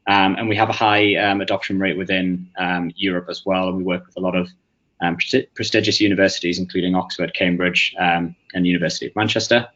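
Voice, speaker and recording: A lower-voiced man with a Northeast English accent gives a product webinar. He hesitates a lot, with frequent fillers and restarts. The recording sounds muffled and lo-fi. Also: little pitch movement, fast, fairly bright tone. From England. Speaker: webinar presenter. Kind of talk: webinar presentation. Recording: muffled lo-fi audio.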